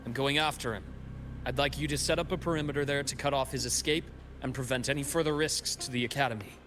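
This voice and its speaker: A man playing a composed, clinical doctor and fighter in a video game, with measured, formal, commanding speech. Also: medium pitch, steady tone, music in the background, close to an everyday voice. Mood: calm, resolute.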